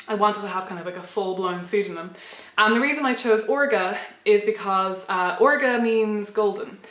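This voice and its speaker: A woman's voice: medium pitch, a bit bright. A young Irish woman telling a personal story to camera in a reflective, rambling way, often restarting her sentences. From Ireland. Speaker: pagan blogger and vlogger. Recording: roomy echo.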